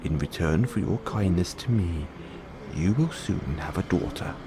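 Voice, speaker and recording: A man with a low voice narrates a bedtime fairy tale. His pitch moves expressively through each phrase, and there is faint noise behind him. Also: expressive, measured pace. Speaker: storyteller.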